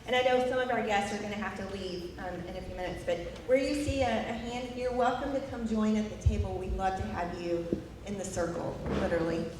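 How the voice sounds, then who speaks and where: A woman's voice: medium pitch, fairly even intonation, a little fast, background noise. A woman with a Southern US accent leading a workshop, upbeat and instructive, carefully repeating each step for the group. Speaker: workshop facilitator. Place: large meeting hall with many tables. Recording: echoey hall from far off, busy crowd.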